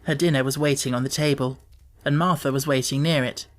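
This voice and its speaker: A man narrating a children's novel as an audiobook in a measured, clearly phrased way. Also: medium pitch, fairly even intonation. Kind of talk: scripted storybook narration.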